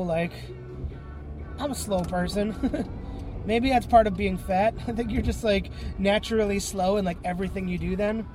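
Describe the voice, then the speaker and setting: Male podcast host, high voice, congested and sniffly, rambling solo monologue with constant fillers; music bed underneath. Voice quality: stuffy, congested nose. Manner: clears throat and sniffs often; rambling, many fillers and self-corrections. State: tired.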